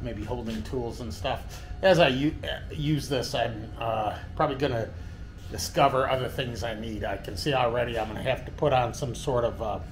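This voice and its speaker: A man with an everyday mid-range voice talks through his home workshop build, pausing often and restarting his sentences. He is recorded on a handheld camera in an indoor workshop. A faint background hum sits under his voice. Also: medium pitch. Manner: halting, many fillers and restarts. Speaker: DIY vlogger. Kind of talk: casual walkthrough of a workshop build.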